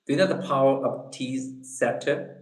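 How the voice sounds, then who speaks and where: A man's voice: mid-range voice, fairly even intonation, moderate pace. A man from Eastern China with a Chinese accent, chatting casually with viewers on a livestream, hesitant and repeating words as he searches for English phrases. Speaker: livestream host. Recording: echoey room.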